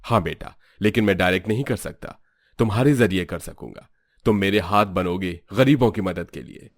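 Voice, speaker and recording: A man's voice: sits low, moderate pace, no room sound, studio quality. A man playing a kindly, encouraging school principal with reassuring authority in a storybook drama. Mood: earnest. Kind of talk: storybook audio drama acting.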